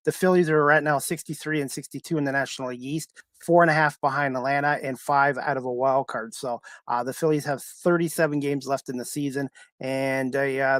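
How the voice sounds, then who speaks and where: A man from Canada hosting a podcast, talking casually and haltingly to his livestream viewers in a rambling way. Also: medium pitch, a bit bright, no echo. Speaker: podcast host.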